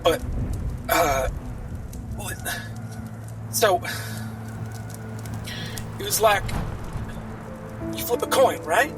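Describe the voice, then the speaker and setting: A man playing a tabletop game in character, talking in a folksy, halting way. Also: sits high, lively intonation, distant mic, over music. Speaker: player.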